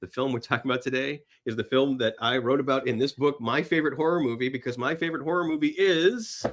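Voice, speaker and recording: A man's voice: medium pitch, fast talker. Enthusiastic middle-aged man chatting. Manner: enthusiastic, rambling, repeats phrases. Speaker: podcast guest, screenwriter. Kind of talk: casual film chat.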